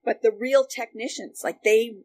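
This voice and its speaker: A woman with a Canadian accent chatting casually and telling stories in an animated way, as a guest looking back. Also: fairly high pitch, normal speed. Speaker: guest, former radio worker. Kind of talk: casual reminiscing conversation. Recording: noticeable background noise.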